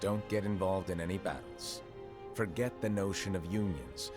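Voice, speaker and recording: Deep-voiced solemn fantasy master, played by a man. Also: music in the background, lightly acted. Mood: calmly instructing. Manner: measured, grave, instructing. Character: solemn, enigmatic male master of keyblade wielders. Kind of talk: scripted fantasy game cutscene acting.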